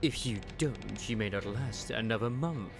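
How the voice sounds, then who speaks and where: A man's voice: on the low side, moderate pace, gravelly, over music. A man voicing an anxious, deferential crew member in an anime game fandub. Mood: anxious, apologetic.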